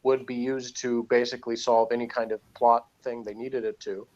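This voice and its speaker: A man's voice: medium pitch, little pitch movement, moderate pace, a little mellow, dull audio. A man in a casual debate about a TV episode, arguing his case point by point. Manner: argumentative, reasoning point by point. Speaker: fan commentator.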